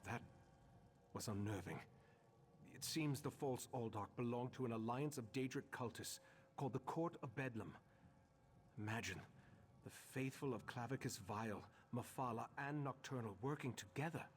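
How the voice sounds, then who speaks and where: An actor playing an earnest, authoritative master of a mage order in a video game, measured and formal. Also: male voice, mid-range voice, slight background noise, natural voice. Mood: grave, pondering. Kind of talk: video game character acting.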